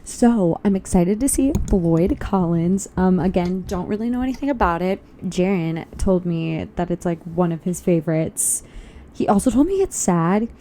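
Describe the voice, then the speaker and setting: A woman's voice: lowish voice, lively intonation, moderate pace, dark tone, a little hiss or hum. Chatty young woman with a tired voice. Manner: chatty and upbeat, thinks aloud. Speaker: vlogger. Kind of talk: casual vlog chat. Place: walking outdoors on a loud city street.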